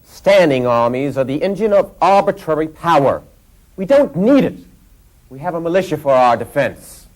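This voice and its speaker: An actor playing a combative eighteenth-century delegate, declaiming rhetorical challenges in a period drama. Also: male voice, on the high side, big pitch swings, moderate pace, darkish tone, low-quality recording. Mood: alarmed, scornful. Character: fiery 18th-century delegate hostile to standing armies. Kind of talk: period stage-like debate acting.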